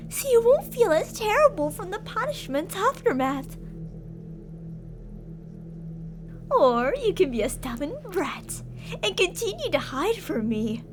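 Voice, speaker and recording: A woman's voice: extremely high pitch, background noise, heavily acted. A woman playing an obsessive yandere captor in an ASMR roleplay, sugary with endearments yet menacing and theatrical. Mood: coaxing, threatening.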